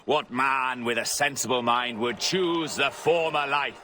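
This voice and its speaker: Theatrical, taunting pirate captain. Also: male voice, mid-range voice, measured pace, bright, forceful tone, slight background noise. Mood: rousing, grandiose. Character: swaggering, mocking pirate captain, a grandiose orator.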